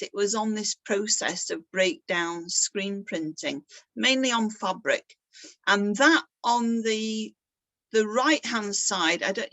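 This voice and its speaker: A woman's voice: mid-range voice, a bit bright, no echo, low-quality recording. Middle-aged woman, artist talk, chatty and self-deprecating, mid-range voice, dry close sound. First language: English. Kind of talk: casual presentation.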